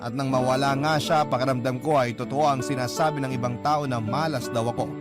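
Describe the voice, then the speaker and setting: A man's voice: mid-range voice, steady tone, brisk. Solemn male letter reader over guitar music. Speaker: narrator reading a listener's letter. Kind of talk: radio drama letter narration.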